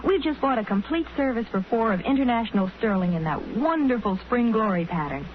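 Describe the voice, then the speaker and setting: An American actress playing a contented, gushing young married housewife in a 1940s radio advertisement. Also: female voice, a little high, animated, fairly quick, close to an everyday voice. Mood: chatty. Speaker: sponsor spokeswoman. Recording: muffled, hissy vintage radio recording.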